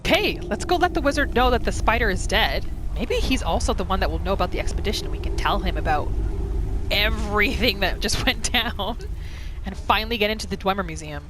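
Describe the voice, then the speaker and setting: A woman hosting a gaming video with casual, excitable commentary, exclaiming and narrating what her character thinks. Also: mid-range voice, fairly quick, noisy background.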